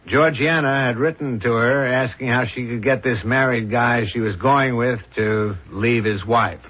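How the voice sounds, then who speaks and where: On a dull old radio recording, a confident police interrogator. His medium-pitched male voice is measured and dry, calmly insistent in vintage radio-play style. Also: breathy edge. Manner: measured, drily matter-of-fact. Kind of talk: old-fashioned radio drama acting.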